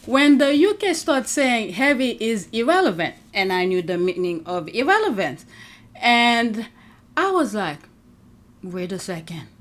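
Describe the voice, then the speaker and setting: A woman's voice: a little high, measured pace. A woman whose first language is not English, hosting a casual commentary show and reacting to clips with frequent laughter. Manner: animated, laughs often, talks to her audience. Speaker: host.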